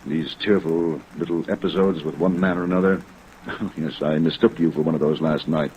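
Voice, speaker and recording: Deep-voiced, protective, earnest gentleman. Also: fairly even intonation, normal speed. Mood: earnest, troubled. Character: earnest, protective gentleman guarding a troubled widow. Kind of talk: 1950s radio drama acting. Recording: muffled old radio recording, rain noise.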